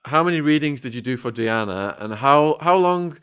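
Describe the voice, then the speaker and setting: A man hosting a podcast, reading viewer questions to his guest in a measured way. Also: mid-range voice, lively intonation, fairly bright tone, clear, resonant tone. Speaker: podcast host.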